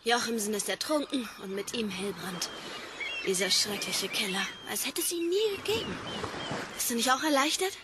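A woman's voice: fairly high pitch, animated, normal speed, heavy background noise, close to an everyday voice. An actress playing a concerned, plain-spoken woman in a radio drama, questioning her partner in a down-to-earth way. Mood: reflective, concerned. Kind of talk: German radio drama dialogue.

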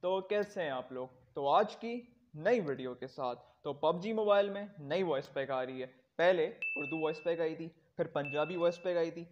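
Higher-voiced man, noisy recording. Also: darkish tone, lo-fi sound. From Pakistan. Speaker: gaming video creator. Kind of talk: casual video commentary on a mobile game.

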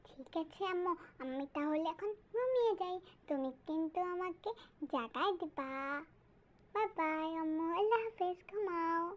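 High-pitched pleading little cartoon girl. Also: expressive, normal speed. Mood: cheerful. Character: little girl Faria, pleading, chatty and full of excuses. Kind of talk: cartoon voice acting.

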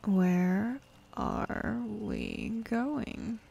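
A woman's voice: medium pitch, wildly swooping pitch, very slow, creaky, warm tone, exaggerated voice. A woman voicing a bossy, teasing demon in an intimate ASMR roleplay, sardonic and drawling, easily bored, slipping into whispers. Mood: bossy, impatient. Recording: faint ambient forest background noise.

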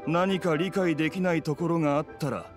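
A man's voice: fairly high pitch, fairly even intonation, fairly quick, lightly acted. An actor playing an arrogant, condescending male official in a Japanese fantasy video game, haughty and blunt. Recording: clear music behind the voice.

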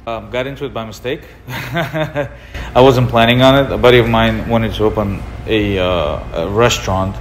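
Russian-born man with a low voice. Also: moderate pace, slight background noise. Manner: relaxed, explanatory, gestures while talking. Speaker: restaurant owner. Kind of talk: documentary interview. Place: indoor room with leather couch.

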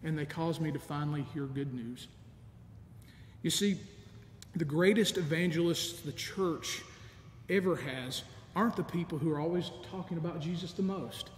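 Earnest middle-aged deacon in an echoey church. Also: male voice. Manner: earnest, measured preaching with soft laughs. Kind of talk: sermon. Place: large empty church sanctuary. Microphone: stand mic in front of lectern, camera at a distance. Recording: echoey church with long reverb, faint rumble.

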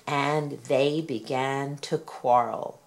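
A woman reading a children's story aloud, with expressive, deliberate phrasing. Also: very deep voice. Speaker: storybook reader.

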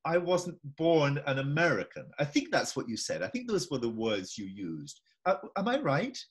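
A man's voice: fairly high pitch, sing-song, moderate pace. An older man with a Scottish accent interviewing a guest over a video call from home, musing and repeating himself as he thinks aloud. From Scotland. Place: home room indoors.

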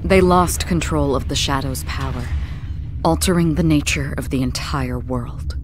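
A woman playing a composed, grave young heiress in an anime game, speaking formally and solemnly in measured phrases. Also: low-pitched, noisy background. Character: composed, grave young heiress recounting dark family history. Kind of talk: dramatic anime game dub.

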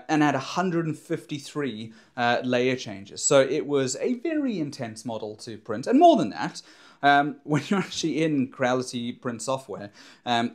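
A young man chats to camera in a small home studio, recorded close on a lapel mic. He rambles happily, piling up fillers and restarting sentences, his ordinary mid-range voice full of enthusiasm for his hobby. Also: mid-range voice. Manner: rambling, many fillers and restarts, animated hand gestures. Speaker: maker and drone surveyor vlogger. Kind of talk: casual enthusiastic talk to camera. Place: indoor home studio in front of a backdrop. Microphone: clip-on lapel mic.

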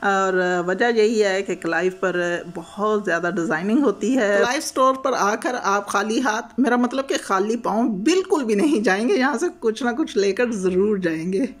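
A woman's voice: medium pitch, normal speed, few pauses. A woman from Pakistan, narrating a friendly, chatty voice-over tour of a shopping mall for her vlog. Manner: friendly, chatty, guiding the viewer along. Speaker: vlogger. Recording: voice-over with loud background music.

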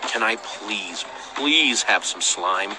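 A man's voice: fairly low pitch, animated, slow pace, poor audio. An actor playing an earnest, pleading young man, the scrappy hero of an adventure game, talking casually. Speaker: game protagonist. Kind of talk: animated game dialogue. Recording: game music behind the voice.

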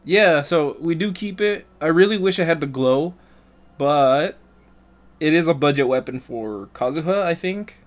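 A man's voice: on the high side, animated, a little slow. A man doing casual, joking gameplay commentary with plenty of slang and swearing, as a streamer. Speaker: gaming streamer.

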